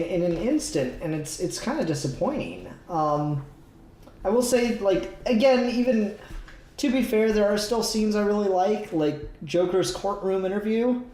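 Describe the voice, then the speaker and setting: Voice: mid-range voice, warm tone, reverberant. A podcast co-host in casual, agreeable conversation about comic books. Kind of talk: casual comic book discussion.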